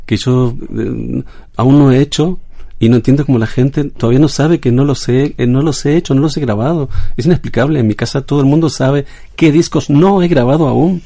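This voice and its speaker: A man's voice: fairly quick, creaky. A man voicing a scatterbrained, boastful bolero singer in a comedy sketch, talking in an absurd rambling way. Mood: chatty. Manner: rambling, absurd, bright higher voice. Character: scatterbrained, boastful male bolero crooner, middle-aged.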